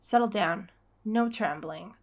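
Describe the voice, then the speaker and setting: A woman's voice: mid-range voice, slow pace. A woman reading out the lines of a haughty, brusque young manga prince in an imperious, curt manner. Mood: commanding.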